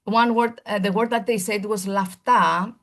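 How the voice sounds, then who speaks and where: A woman presenting a seminar with a gravelly, fry-edged voice at a middling pitch. She speaks in earnest, formal lecture phrasing, building emphatic lists and often restarting mid-sentence as she hunts for the right word. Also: steady tone, normal speed. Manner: earnest and searching for words, with frequent restarts. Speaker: primary school teacher presenting a seminar.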